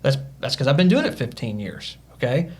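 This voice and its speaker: An earnest man in his thirties talks as a podcast guest. His pitch sits in the middle, his manner is motivational and loose, with plenty of "you know" fillers. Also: very animated, fairly quick. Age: 30s. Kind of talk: casual podcast conversation.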